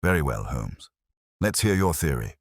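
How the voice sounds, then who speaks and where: A man's voice: a bass voice, sing-song, normal speed, dry recording, studio quality, exaggerated voice. A man voicing a skeptical, bristling police inspector in a detective audiobook, speaking in blunt, terse phrases.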